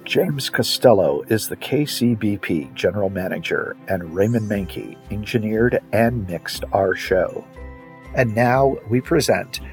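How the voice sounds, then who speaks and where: A man's voice: on the low side, animated, a little slow. A man reading a scripted introduction as a radio announcer. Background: music.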